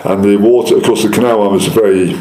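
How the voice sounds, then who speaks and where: Fast, deep-voiced male councillor, echoey room. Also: steady tone, warm tone. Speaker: local councillor. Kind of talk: political interview answers.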